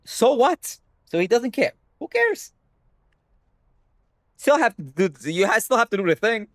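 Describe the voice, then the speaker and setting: A man's voice: very high voice, big pitch swings, a little slow, fairly bright tone, dry recording, studio quality. A young man whose first language is Hebrew, talking bluntly to his stream chat and swearing freely. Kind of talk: casual livestream rant.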